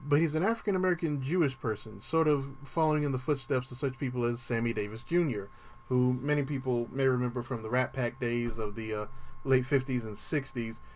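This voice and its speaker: A man with a dry voice hosts a music show, chatting loosely about the week's playlist. His pitch sits right in the middle of the range. He fills pauses with ums and stops now and then to clear his throat. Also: darkish tone. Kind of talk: casual radio-style show talk.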